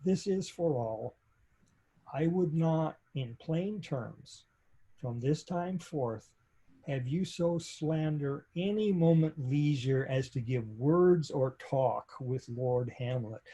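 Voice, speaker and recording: A man plays a worldly-wise father in a Shakespeare reading, laying down the law. His voice is soft-edged and husky, a little high, and he takes his time, deliberate and emphatic. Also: expressive, heavily acted. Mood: stern command. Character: stern, worldly-wise older father warning his daughter.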